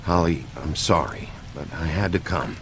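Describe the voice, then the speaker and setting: A man playing a gruff, brusque sheriff in a noir fairy-tale game, with a gravelly voice and terse, blunt delivery. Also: extremely low pitch, normal speed, a bit bright, a little hiss or hum. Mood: subdued. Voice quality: gravelly, creaky.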